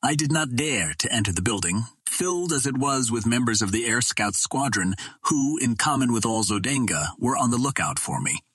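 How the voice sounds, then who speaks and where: A man reading an adventure novel aloud as a narrator, with measured, dramatic storytelling. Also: mid-range voice, lively intonation, a bit bright, slightly rough, no room sound. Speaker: audiobook narrator.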